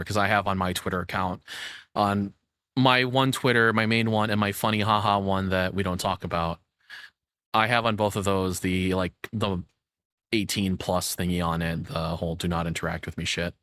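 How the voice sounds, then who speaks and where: A man's voice: sits low, a bit bright, dry recording, clean studio sound. A young adult man doing casual reaction commentary as a content creator, rambling, sarcastic and freely swearing.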